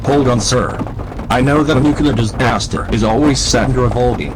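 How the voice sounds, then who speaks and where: A man playing a calm expert guest on a radio talk show, explaining in a reasoning way. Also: medium pitch, moderate pace, noisy background, lightly acted.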